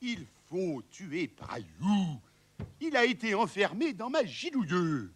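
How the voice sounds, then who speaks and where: A man's voice: high-pitched, sing-song, slow, husky, exaggerated voice. A man playing a pompous, greedy, grotesque tyrant in a theatrical play reading, ranting in mock Latin and trading curses.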